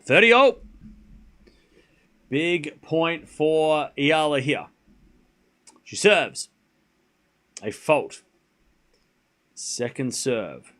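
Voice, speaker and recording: A man with a light Australian accent calling tennis on a live stream, getting excited on rallies and muttering between points while answering chat. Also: fairly high pitch, very slow, long pauses. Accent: Australian, softened. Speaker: streamer commentator. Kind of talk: live play-by-play commentary with chat.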